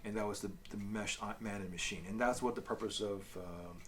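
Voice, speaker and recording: A man's voice: lowish voice, brisk, slight background noise. A man co-hosting a casual review chat, explaining things in a loosely worded way with asides. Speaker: co-host of a comic review show.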